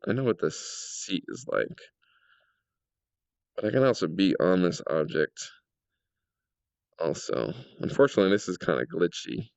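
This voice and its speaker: A man with a cold and a slightly raspy voice, casually commenting as he tests his game world and thinks aloud with fillers. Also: low voice, very animated, normal speed, pauses often, no room sound, muffled recording. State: sick and a little raspy.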